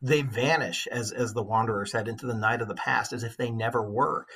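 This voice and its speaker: Male English teacher, forties, forceful and a little husky, conversational lecture delivery with earnest emphasis. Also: mid-range voice, steady tone, a little fast. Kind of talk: informal literature lecture.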